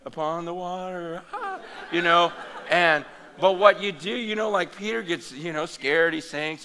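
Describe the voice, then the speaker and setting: A male preacher delivers a conversational sermon to a live audience. His voice is fairly high and bright, with a forceful edge. He jumps between hushed asides and loud, excited bursts, stumbling over restarts along the way. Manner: animated, loose and conversational, with many fillers and restarts. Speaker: pastor. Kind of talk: conference sermon. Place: large hall or meeting room before an audience.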